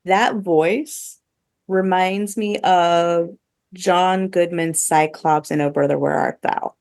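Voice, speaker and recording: A woman's voice: on the low side, steady tone, slow, a little mellow, no echo, studio quality. A woman podcast host chatting casually and giving her opinions, with a lot of "like" thrown in. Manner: chatty, heavy use of "like", opinionated. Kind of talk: casual review chat among co-hosts.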